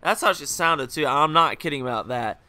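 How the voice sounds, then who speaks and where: A young man hosting a gaming video, telling a rambling, joking story over gameplay while hungover, his voice a little rougher and lower than usual. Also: lively intonation, moderate pace, pushed and bright, dry recording. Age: young adult. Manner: rambling, joking, full of fillers and asides.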